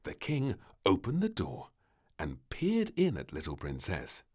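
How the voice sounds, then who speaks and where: A man narrating a children's storybook in an expressive, dramatic and deliberate scripted style. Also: low voice, husky.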